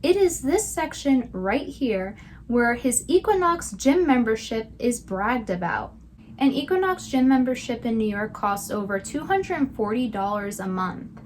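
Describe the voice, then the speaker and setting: A woman's voice: fairly high pitch, sing-song, unhurried, a little hiss or hum. A woman giving scripted commentary with sarcastic, mocking asides. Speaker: video commentator.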